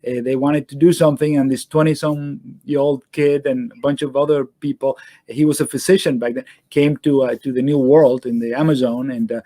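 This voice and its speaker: A middle-aged man from Venezuela, answering interview questions in a halting way, full of fillers and restarts, over a video call. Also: medium pitch, fairly even intonation, dark tone, no room sound. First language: Spanish. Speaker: guest surgeon.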